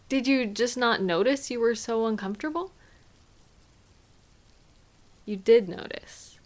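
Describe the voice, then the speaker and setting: A woman playing a caring, gently chiding partner in an intimate audio roleplay, speaking soothingly to a sweetheart. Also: a little high, lightly acted. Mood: concerned, puzzled. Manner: tender, soothing, gently questioning and unhurried. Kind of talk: intimate scripted audio roleplay addressed to the listener.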